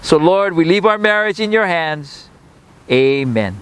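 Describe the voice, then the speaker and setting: A male officiant leads a beach wedding ceremony in a slow, solemn cadence. His first language is Hawaiian, and his voice sits a little high for a man. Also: animated, fairly bright tone, clean tone. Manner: measured, solemn delivery. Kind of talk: wedding ceremony address and prayer.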